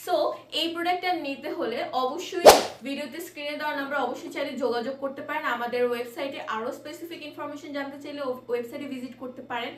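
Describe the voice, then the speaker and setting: A Bangladeshi woman with a high voice gives an informal product demo. She explains step by step, a little haltingly, and drops in English words. The recording is echoey and distant from the microphone. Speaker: product presenter. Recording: echoey room, distant mic, faint noise.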